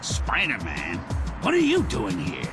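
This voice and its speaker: A man playing an indignant supervillain with a gravelly voice in a video game. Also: fairly low pitch, wildly swooping pitch, measured pace. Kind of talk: video game villain acting. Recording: distant, with loud music over it.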